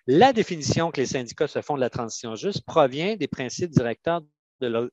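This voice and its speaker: A man from Canada with a Quebec French accent, explaining methodically and haltingly as a union adviser answering questions in an online panel. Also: medium pitch, no room sound, muffled recording.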